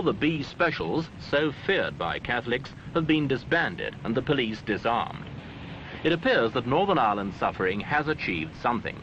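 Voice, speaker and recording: A man reading scripted documentary newsreel narration in a formal, measured way with dramatic phrasing. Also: medium pitch, a bit bright, highs cut off. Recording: noisy, band-limited archival newsreel soundtrack.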